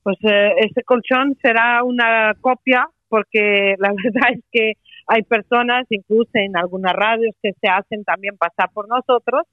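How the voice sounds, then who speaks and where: A woman's voice: fairly high pitch, steady tone, a little slow, dry recording. Woman with a Portuguese accent on the phone. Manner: persuasive, many hesitations and "eh" fillers. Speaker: company sales representative. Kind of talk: sales pitch on a radio call-in. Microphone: telephone. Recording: tinny phone line, dry.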